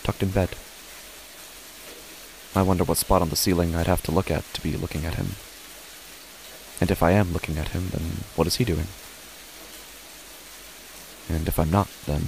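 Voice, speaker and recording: Deep, gravelly, brooding father acted by a man. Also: slight background noise, low-quality recording. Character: uneasy, brooding father narrating his own horror story. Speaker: narrator and lead character of a horror audio drama. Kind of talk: first-person horror audio drama narration.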